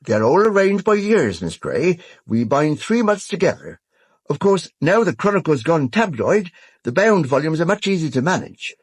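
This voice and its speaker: An actor with a British accent playing a fussy, chatty older newspaper archivist in an audio drama, muttering and exclaiming. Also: male voice, fairly high pitch, big pitch swings, moderate pace, husky, dry recording. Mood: chatty, proud. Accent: British English. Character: fussy, chatty old male newspaper archivist, easily scandalised. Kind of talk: full-cast audio drama acting.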